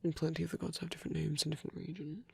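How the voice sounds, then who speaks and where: A man playing a gentle, shy vampire priest in an intimate ASMR roleplay, speaking in a husky half-whisper with a hesitant, apologetic manner. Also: a little high, little pitch movement. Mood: soft, musing. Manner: hesitant, halting, apologetic, rambling.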